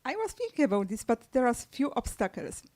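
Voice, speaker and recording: Halting non-native woman author. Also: on the high side, sing-song, a little mellow. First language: Serbian. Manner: halting, many fillers and restarts, non-native grammar. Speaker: author guest. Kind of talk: conversational book-launch interview. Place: press club event room.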